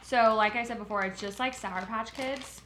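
A woman's voice: a little high, moderate pace, noisy background. A woman co-hosting a podcast, chatting casually about snacks and reacting warily and dramatically. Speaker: podcast co-host. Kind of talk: casual snack-tasting chat.

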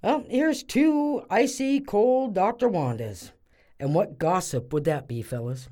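A woman's voice: medium pitch, animated, measured pace, warm tone. Actress as a sassy Southern small-town woman. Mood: sassy, suspicious. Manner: teasing, blunt. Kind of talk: comic audio drama acting.

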